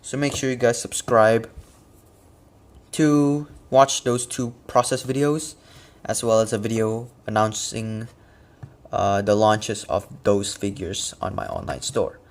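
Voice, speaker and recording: A man's voice: on the low side, steady tone, takes it slowly. A man casually explaining his custom figure work step by step, with occasional fillers. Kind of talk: casual product walkthrough.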